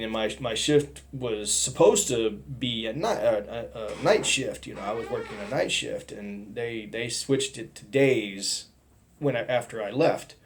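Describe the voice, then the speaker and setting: A middle-aged man giving a personal testimony, talking in a halting, rambling way with many restarts and fillers. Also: medium pitch, a little mellow. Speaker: testimony speaker.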